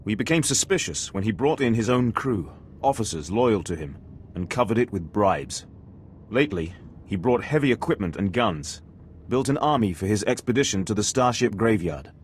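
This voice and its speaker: Male alien commander actor, game dialogue: aristocratic, stern, dignified, fairly low, slight ambient noise. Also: lively intonation, moderate pace, lightly acted. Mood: grave, measured. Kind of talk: video game voice acting.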